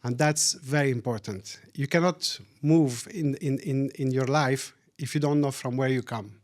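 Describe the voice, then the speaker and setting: Middle-aged man born in Syria, interview guest, thoughtful and halting, mid-range voice. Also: medium pitch. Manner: thoughtful, repeats words while searching for them. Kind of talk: casual interview chat.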